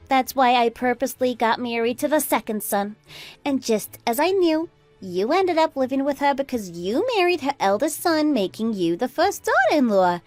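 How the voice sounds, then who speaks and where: A woman playing a smug, catty sister-in-law in a radio drama, preachy and boastful in an animated way. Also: fairly high pitch, no room sound, natural voice. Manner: animated, preachy, boastful, swooping into indignant questions. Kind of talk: scripted radio drama dialogue.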